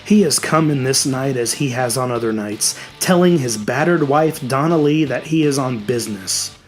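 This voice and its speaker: A man's voice: medium pitch, normal speed. A man reading a scripted horror story as a dramatic narrator. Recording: music behind the voice. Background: music.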